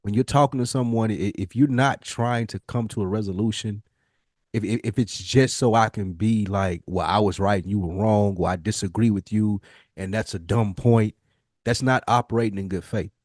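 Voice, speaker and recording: A man's voice: on the low side, normal speed, darkish tone, no echo, clean studio sound. An American man co-hosting a podcast, talking casually and reflectively in an earnest, emphatic, colloquial way and repeating phrases as he builds his point. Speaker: podcast co-host.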